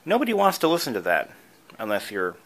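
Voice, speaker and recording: A man's voice: mid-range voice, wildly swooping pitch, fast, a bit bright. A man narrating a casual how-to video as its host, explaining things informally with asides. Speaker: video creator and host, antique radio hobbyist.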